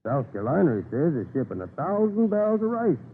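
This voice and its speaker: A man's voice: a little high, very animated, fast talker. Folksy colonial stableman, tinny radio. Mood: chatty, obliging. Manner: folksy, hesitant, rustic grammar. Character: folksy, deferential colonial inn stableman, chatty and obliging. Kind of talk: 1930s radio drama acting. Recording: thin, noisy 1930s radio recording.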